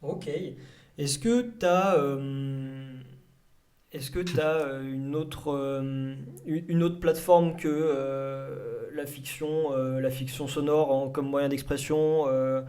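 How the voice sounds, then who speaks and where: A man's voice: medium pitch, slow pace. Casual male interview host. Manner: relaxed, casual, searching for questions with fillers.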